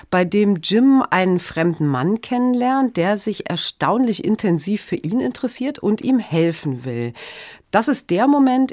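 A woman's voice: mid-range voice, darkish tone, no echo, clean studio sound. A woman speaking as an interview guest, explaining a production in an articulate, thoughtful way.

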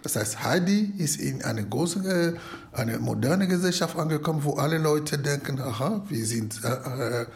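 A non-native German speaker, a man with a foreign accent, retelling and reflecting in a halting, searching way. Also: fairly high pitch, expressive, slight background noise. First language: not German. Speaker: asylum seeker retelling a story.

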